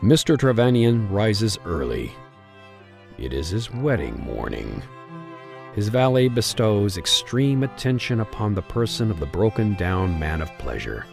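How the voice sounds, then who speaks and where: A man delivers theatrical narration for an old-style radio melodrama. His voice is fairly low and very animated in pitch, yet slow and deliberate. Music plays clearly behind him. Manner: dramatic, theatrical storytelling.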